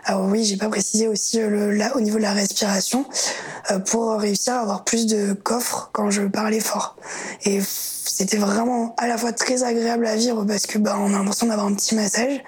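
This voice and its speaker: A woman's voice: medium pitch, little pitch movement, brisk, darkish tone. A young woman telling her story in an interview, hesitant and reflective with many fillers. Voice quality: very breathy, husky voice. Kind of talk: personal testimony interview.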